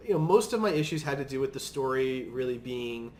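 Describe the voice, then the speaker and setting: A man's voice: medium pitch, animated, a little fast, a little mellow, echoey room. A man hosting a podcast, talking casually and at length about films with his own opinions. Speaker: podcast host. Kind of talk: casual film discussion. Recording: noisy room background.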